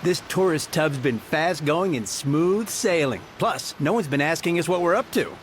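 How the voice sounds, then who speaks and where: Henchman, male, brash and bossy, high lively voice man acting. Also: moderate pace, a little hiss or hum, natural voice. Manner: scolding, brash. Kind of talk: game voice acting.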